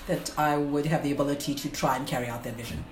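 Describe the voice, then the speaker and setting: A woman's voice: sits low, fairly even intonation, quick pace. A woman from South Africa in her forties, a guest speaker who talks thoughtfully, laughs easily and pokes fun at herself. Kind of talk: casual interview. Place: lunch event venue. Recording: roomy, with faint room noise.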